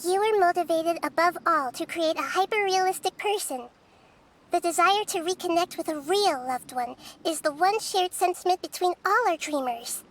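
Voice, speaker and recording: A high-voiced, childlike wise little goddess. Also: female voice, normal speed, slight background noise. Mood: calm, probing. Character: wise, perceptive young female dendro god in child form. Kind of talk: video game voice acting.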